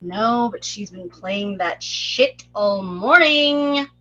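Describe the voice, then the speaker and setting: An actress playing a callous, sharp-tongued young woman who stays cool and unfazed in a screenplay table read. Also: mid-range voice, expressive, slow, muffled recording. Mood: flat scorn.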